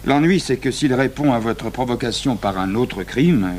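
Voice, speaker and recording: A man's voice: mid-range voice, expressive, normal speed, lightly acted. A man acting a sceptical, exacting magistrate in his thirties in a French radio crime drama, dry and formal. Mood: insistent, stern. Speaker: actor playing an examining magistrate. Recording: noisy 1980s radio recording with hum.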